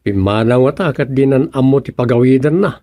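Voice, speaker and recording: A man's voice: mid-range voice, fairly quick, mellow, dry recording, clean studio sound, natural voice. A man playing a helpful, exasperated village everyman in an Ilocano radio comedy, questioning in a colloquial way. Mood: concerned.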